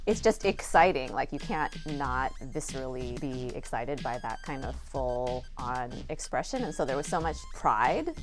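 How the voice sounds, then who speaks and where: Low-voiced woman director, reflective. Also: very animated, measured pace, hardly any pauses, music in the background. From Seattle area, USA. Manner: reflective, laughs now and then while talking. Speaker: theater director. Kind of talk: documentary interview.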